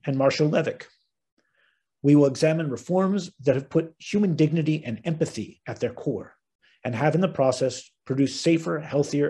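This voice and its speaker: Husky-voiced American man, measured. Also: mid-range voice, mellow. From United States. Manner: measured, earnest, occasional ums and restarts. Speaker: webinar host and moderator. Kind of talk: formal opening remarks. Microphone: video call webinar.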